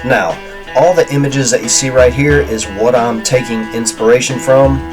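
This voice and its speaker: Male, 30s, stuffy and congested, laid-back build-channel host, middle-pitched, music bed behind. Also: fairly even intonation, normal speed, reverberant, rough recording. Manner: easygoing, talks straight to "you guys". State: stuffy, congested from being sick. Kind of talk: casual voiceover to viewers.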